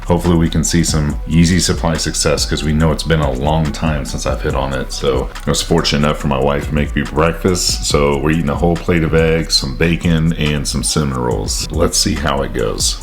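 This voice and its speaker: A man's voice: very deep voice, fairly even intonation, a little fast, hardly any pauses, faint music behind. A young man with a congested, stuffy voice, chatting casually to camera in a vlog, with frequent ums and uhs. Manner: chatty, self-narrating, frequent uh and um. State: congested from a sinus infection. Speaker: sneaker bot vlogger.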